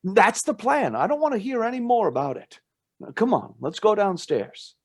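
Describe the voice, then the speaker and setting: A man's voice: high voice, very animated, moderate pace, heavily acted. A man playing a bold, determined brother in a radio play, decisive and dramatic in his delivery. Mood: resolute. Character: bold, determined brother plotting against a witch.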